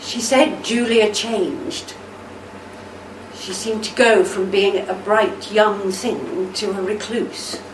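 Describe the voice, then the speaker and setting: A woman playing a devoted, steadfast older army wife in a radio play, with a measured, tender delivery. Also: medium pitch, natural voice. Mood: measured, careful. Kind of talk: amateur radio play acting. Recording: roomy, distant mic, faint noise.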